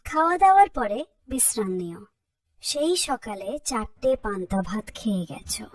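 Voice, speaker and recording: Lady playing worried nagging cartoon village wife, slightly high voice, a bit far from mic. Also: big pitch swings, moderate pace, no room sound. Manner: fussing, admonishing. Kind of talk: cartoon folk tale dialogue.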